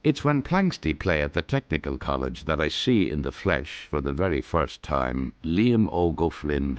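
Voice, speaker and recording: A middle-aged Irish man narrating his own story in a wry, rueful, lyrical way for a radio drama. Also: very deep voice. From Ireland. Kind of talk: scripted first-person radio narration.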